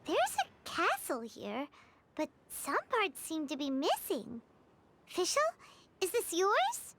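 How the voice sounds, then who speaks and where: A woman voicing a small, childlike, chirpy fairy companion in a video game, with a squeaky voice. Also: huge pitch swings, takes it slowly, exaggerated voice. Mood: curious. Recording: loud background music.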